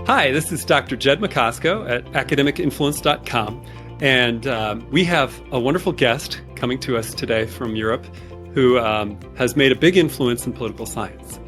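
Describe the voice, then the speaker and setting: A man's voice: medium pitch, moderate pace, fairly bright tone, soft background music. A man hosting an online interview, enthusiastic and jokey, often repeating words as he talks. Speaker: interview host.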